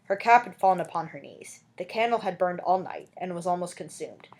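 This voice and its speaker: A woman's voice: lowish voice, expressive, brisk, a little mellow. Woman reading a classic novel aloud. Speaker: amateur audiobook reader. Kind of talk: expressive storybook narration.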